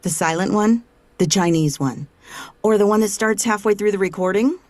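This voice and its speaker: A woman's voice: mid-range voice, moderate pace, darkish tone, no echo, close to an everyday voice. A woman playing a teasing, affectionate wife in an audio comedy drama. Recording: slightly distant, faint noise.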